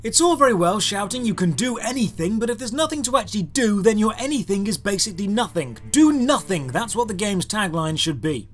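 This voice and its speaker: A man's voice: high voice, big pitch swings, brisk, few pauses, soft background music. A man narrating a scripted video game review in a sardonic, exasperated, emphatic way.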